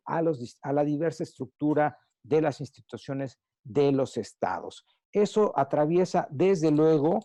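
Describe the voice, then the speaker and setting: A man speaking as a panelist on a video call, explaining at a measured pace with frequent hesitations. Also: mid-range voice, warm tone, dry recording. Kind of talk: virtual panel talk.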